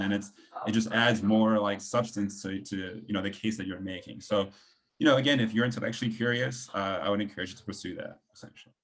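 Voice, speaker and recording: A man speaks casually as a panelist, in a slightly low voice. His delivery is informal and meandering, with a self-deprecating streak. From Belgium.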